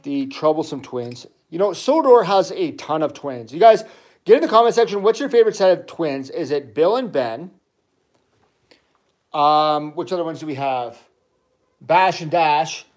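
An enthusiastic middle-aged man hosts a casual toy livestream, chatting and rambling about his train collection. His voice sits a little high for a man. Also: animated, normal speed, darkish tone. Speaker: toy channel host, dad.

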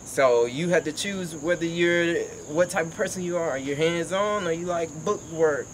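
High-voiced young man, emphatic. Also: normal speed, a little hiss or hum, poor audio. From Georgia, United States. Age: young adult. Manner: animated, slangy, emphatic. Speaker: HVAC technician and vlogger. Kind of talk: casual motivational vlog talk. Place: outdoors by a house.

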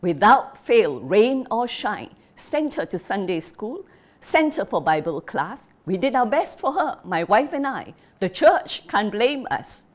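A woman voicing a bitter, furious old father who shouts and scolds his family. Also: mid-range voice, sing-song, measured pace, voice pushed up. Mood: scolding. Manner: shouting, scolding, jabbing repetition.

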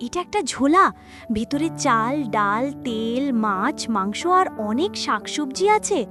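Cartoon village daughter: actress, high-pitched, very animated, music playing underneath. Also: female voice, unhurried. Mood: amazed. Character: excited young village girl. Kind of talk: Bengali moral cartoon voice acting.

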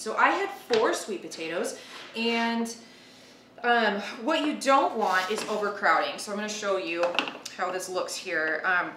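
A woman's voice: mid-range voice, background noise. A woman in her thirties hosting a casual cooking demonstration, talking informally and thinking aloud as she works. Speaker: cooking show host. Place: home kitchen, indoors. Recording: roomy, distant mic, loud kitchen clatter. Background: chopping, clicks, pans clattering.

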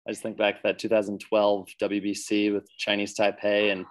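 A young man with an American accent and a slightly low voice talks casually as a guest on a video call. He rambles amiably, filling pauses with ums and yeahs. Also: completely flat delivery. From United States. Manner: easygoing, chatty, many fillers. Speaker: baseball player guest.

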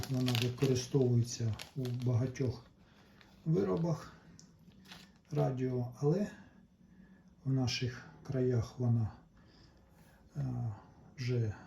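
A man talks through repair work step by step. His voice is dark and relaxed, at an ordinary pitch. He speaks methodically in short phrases, over a faint layer of background noise. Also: gravelly. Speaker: repair tutorial host. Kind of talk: unscripted step-by-step hands-on instruction.

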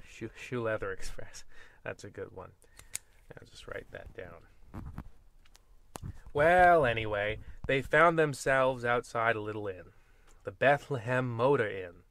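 A man's voice: medium pitch, fairly bright tone. A man narrating in the style of an old-time horror radio host, played for comedy, with rambling, folksy and wry asides. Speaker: host and storyteller of a radio anthology.

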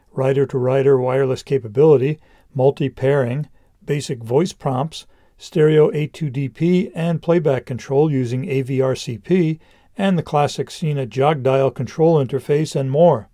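A man's voice: medium pitch, mellow, dry recording, clean studio sound. A man presenting a scripted product review as a voice-over narration.

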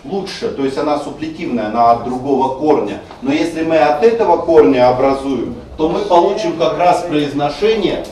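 A man's voice: fairly high pitch, expressive, normal speed, few pauses, a little mellow. A man teaching a class, explaining pronunciation rules and sounding words out to demonstrate. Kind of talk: screencast lecture on Russian stress. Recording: very echoey room, distant mic, faint noise.

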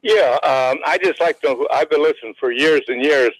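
A man in his eighties calling in to a radio show, talking casually and haltingly, often repeating words. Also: a little high, no room sound. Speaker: caller. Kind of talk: casual phone-in talk. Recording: phone call on radio.

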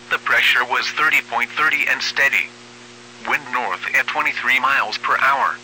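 A synthetic male announcer reads a weather bulletin in a formal, impersonal manner. The voice is very bright and sharp, at a middling pitch, with a measured delivery. A faint hum sits under it, like weather radio. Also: poor audio. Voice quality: synthetic text-to-speech voice. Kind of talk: automated weather bulletin reading.

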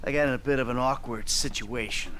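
An actor playing a tense middle-aged ex-robber in a video game cutscene, speaking defensively. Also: male voice, mid-range voice, steady tone, fairly quick, a bit bright, slight background noise, natural voice, low-quality recording. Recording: game audio heard faintly behind commentary.

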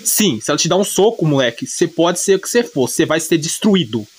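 A man's voice: a little high, expressive, fast, husky. A man with a Brazilian accent casually retelling an anime story off the cuff, rambling and slangy, often correcting himself. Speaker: YouTube anime recapper.

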